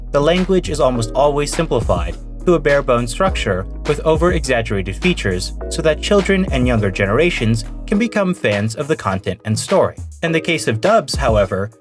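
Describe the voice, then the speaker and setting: A man reading an earnest, argumentative scripted narration for a video essay. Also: medium pitch. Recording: narration over music.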